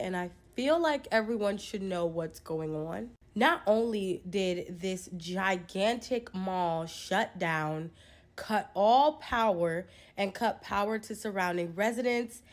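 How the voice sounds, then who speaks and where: A young woman speaks straight into a phone held close, in a small indoor room. Her delivery is emphatic and incredulous, peppered with swearing and rhetorical questions. Her pitch sits mid-range for a woman. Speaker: social media commentator. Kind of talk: casual conspiracy commentary to camera. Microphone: phone held close, selfie framing.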